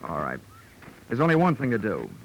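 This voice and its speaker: An actor playing a wry, wisecracking American insurance investigator who narrates a 1940s hardboiled radio drama. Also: male voice, on the low side, huge pitch swings, brisk, a little hiss or hum, rough recording. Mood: smooth, matter-of-fact. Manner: dry wit, wisecracking. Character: wry, tough male insurance investigator hero. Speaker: lead and narrator of detective show. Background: music.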